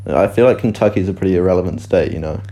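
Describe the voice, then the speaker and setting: Young kiwi guy, lowish mellow voice, deadpan jokes on a podcast. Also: quick pace. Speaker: podcast co-host. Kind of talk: casual chat.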